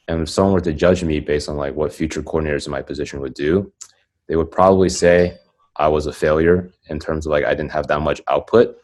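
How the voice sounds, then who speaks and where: A man's voice: rumbling low, darkish tone. A young man in casual conversation, rambling and filling his speech with "like" and "um". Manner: rambling, heavy use of "like" and "um". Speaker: podcast guest. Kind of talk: casual chat.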